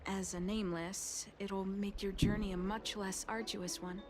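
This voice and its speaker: An actress voicing a polite, soft-spoken woman alchemist in a video game, speaking formally and with measure. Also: mid-range voice, level tone, a little slow, background noise, close to an everyday voice. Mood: gentle, courteous. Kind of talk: video game character dialogue.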